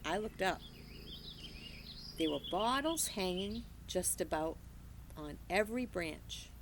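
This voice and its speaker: Woman teacher, read-aloud narrator, unhurried, animated delivery. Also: fairly low pitch, a little hiss or hum. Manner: slow, expressive storytelling. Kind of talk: reading a children's novel aloud.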